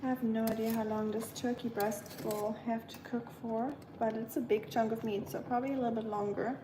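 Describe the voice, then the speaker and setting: A young German woman chats with her stream while cooking, her voice a touch higher than most. She rambles in a friendly way with plenty of fillers. The kitchen is echoey, with pots and pans clattering behind her. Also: flat delivery, brisk, noisy background. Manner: chatty and friendly, lots of fillers, talks while working. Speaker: vlogger livestreaming. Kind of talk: casual chat reading live comments while cooking.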